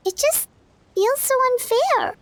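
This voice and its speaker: A woman's voice: extremely high pitch, lively intonation, slow, fairly bright tone, no room sound, exaggerated voice. A woman voicing a small, earnest fairy companion in a video game, who talks about herself in the third person. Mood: indignant.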